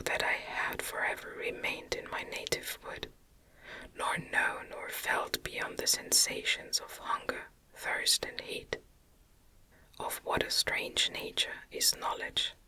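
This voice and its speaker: A woman whispering a gothic novel as an ASMR reading, gently and deliberately, with soft articulation. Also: rough recording. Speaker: ASMR reader.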